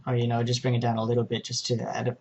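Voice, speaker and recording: A man narrating an informal software tutorial, explaining each step in a hesitant way. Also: medium pitch, monotone, racing. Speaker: tutorial presenter.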